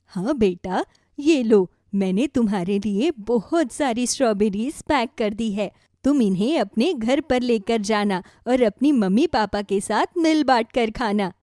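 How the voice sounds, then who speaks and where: A woman voicing a kindly, grateful old grandmother in a children's story, gentle and affectionate. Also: on the high side, expressive, measured pace, dry recording, studio quality.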